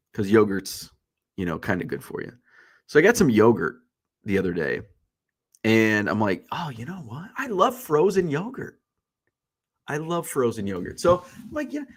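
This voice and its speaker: A stuffy, congested man hosts a casual live stream, rambling from one tangent to the next with an easy joking manner. His voice sits a bit low for a man. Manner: chatty and joking, wanders off on tangents. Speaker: host of a daily live stream. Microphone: streaming setup.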